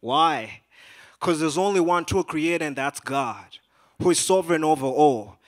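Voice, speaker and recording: A man's voice: fairly high pitch, a little slow. A young man from Malawi whose first language is Chichewa, introducing his songs from an auditorium stage on a handheld mic. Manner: call-and-response shouting, then relaxed joking talk. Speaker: rapper, student performer. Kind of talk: hyping a crowd and introducing songs.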